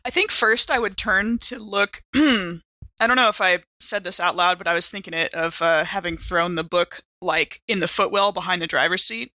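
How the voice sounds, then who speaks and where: A woman's voice: on the low side, normal speed, bright, forceful tone, no echo. A woman playing in a tabletop audio drama, bantering and narrating playfully in a casual way.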